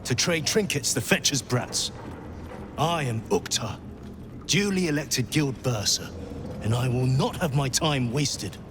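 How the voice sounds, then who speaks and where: An actor voicing a pompous male guild bursar in a game, speaking in a formal, measured and guarded way. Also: medium pitch, animated, lightly acted. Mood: curt. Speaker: game character. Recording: game audio with ambient noise.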